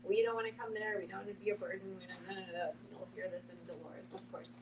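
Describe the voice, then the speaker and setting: A woman talking casually in a panel discussion, halting and conversational. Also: mid-range voice, steady tone. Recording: distant mic with clear background noise.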